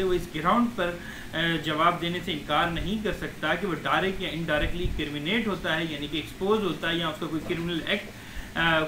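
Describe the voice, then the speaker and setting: A man's voice: high-pitched, bright, forceful tone. A man explains law like a teacher, repeating points and dropping in English legal terms. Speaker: advocate giving law lessons. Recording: echoey room with faint noise.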